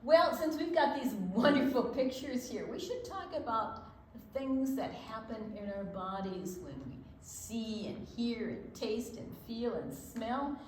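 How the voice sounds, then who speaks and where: An older woman co-hosting a children's storytime, explaining and reading aloud deliberately, with emphasis on single words. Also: fairly high pitch, animated, darkish tone, slight background noise, low-quality recording. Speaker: children's storytime co-host and reader.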